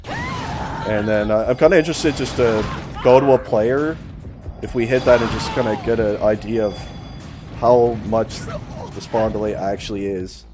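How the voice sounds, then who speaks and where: A man doing live play-by-play as an esports shoutcaster. He is losing his voice and bursts into excited shouting on big plays. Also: lowish voice, moderate pace, over music.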